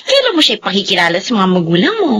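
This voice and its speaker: A woman's voice: medium pitch, huge pitch swings, rapid-fire, fairly bright tone, slightly rough, full character voice. A woman playing an effusive, frank married best friend with a Filipino accent in a radio drama. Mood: teasing, frank.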